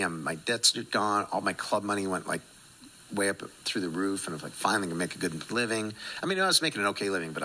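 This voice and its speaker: A man tells a casual story in a loose, chatty style. His voice is fairly low yet very bright and cutting, and he talks quickly. There is faint noise around him. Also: poor audio. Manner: conversational, peppered with like and you know. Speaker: stand-up comedian. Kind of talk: casual anecdote. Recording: faint background noise and ambience.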